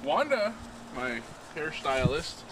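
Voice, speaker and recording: A raspy-voiced man in his thirties vlogs from a handheld camera as he walks along a road, rambling in a self-deprecating way. His voice sits a little high for a man, and loud wind and traffic noise run underneath the outdoor recording. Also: sing-song, takes it slowly. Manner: rambling, self-deprecating, walking while talking. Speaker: vlogger. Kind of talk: casual daily vlog. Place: outdoors by a road and parking lots. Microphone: handheld camera at arm's length.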